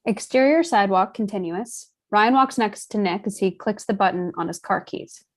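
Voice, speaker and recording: A woman's voice: mid-range voice, normal speed. A woman reading stage directions as scripted narration for a screenplay table read.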